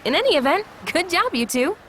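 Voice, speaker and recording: An actress playing a brisk, encouraging young woman in a video game, with an upbeat, businesslike manner. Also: very high voice, sing-song, a bit bright, slight background noise, heavily acted. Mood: pleased, impressed. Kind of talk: scripted video game acting.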